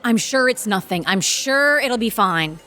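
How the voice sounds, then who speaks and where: Blunt tired lawyer lady, high voice, very up and down, audio drama. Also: animated, measured pace, sharp tone. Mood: weary, dismissive. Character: blunt, weary, accusing female law firm associate.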